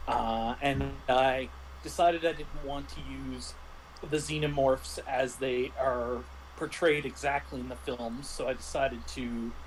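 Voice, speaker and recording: A man in casual explanatory chat as a guest game master, speaking haltingly as he thinks aloud. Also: medium pitch, fairly even intonation. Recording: clearly noisy livestream audio.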